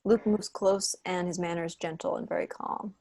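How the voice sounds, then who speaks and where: A woman with a fairly low voice narrates a script at a table read. She reads out stage directions over a video call, with a dry, close sound. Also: steady tone, moderate pace, a little mellow, dry recording. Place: indoor room on a video call.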